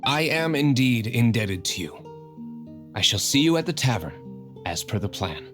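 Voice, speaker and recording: An actor playing a brooding, coolly courteous young master of a tavern in a video game, formal and reserved. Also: male voice, mid-range voice, very animated, measured pace, over music. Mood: solemn, resolute.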